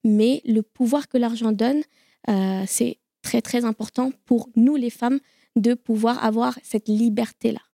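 A woman of Indian origin speaking on a live panel, earnest and halting, with many fillers. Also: on the high side, fairly even intonation, creaky, dark tone, no room sound, studio quality.

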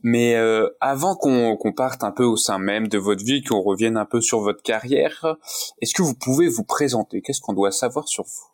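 A man hosting a podcast interview, asking long, halting questions full of fillers. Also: medium pitch, a little mellow. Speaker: podcast host.

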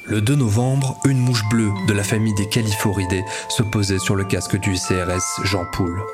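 A man performing the grave, deadpan narrator of a satirical audio fiction, reading literary prose. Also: on the low side, normal speed, over music, lightly acted. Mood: solemn, deadpan. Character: solemn omniscient narrator of a satirical radio fiction.

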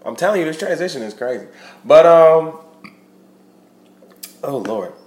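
A man's voice: fairly high pitch, animated, unhurried, a little mellow. Stuffy-nosed young man rambling casually. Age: young adult. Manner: loose, rambling, slangy with many fillers and restarts. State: stuffy, nasal from a cold. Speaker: podcast host. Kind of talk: casual solo podcast monologue. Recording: roomy echo with faint ambient noise.

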